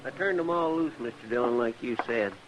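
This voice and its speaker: An actor playing a chatty, deferential frontier deputy in an old-time radio western, with eager, rustic country talk. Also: male voice, mid-range voice, a little fast, loud music behind the voice, close to an everyday voice. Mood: amused. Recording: dull, hissy old radio recording.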